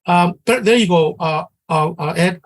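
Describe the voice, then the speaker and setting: A man's voice: high voice, moderate pace. A middle-aged man from Samoa, teaching a trading class in an earnest, conversational way and often restarting his sentences. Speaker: trading instructor.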